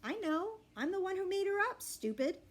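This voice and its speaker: A woman's voice: very high-pitched, very animated, normal speed, pitched above the performer's own voice. A woman voicing a young girl, the bossy older sister, in a children's storybook reading. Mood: teasing. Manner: whiny, teasing.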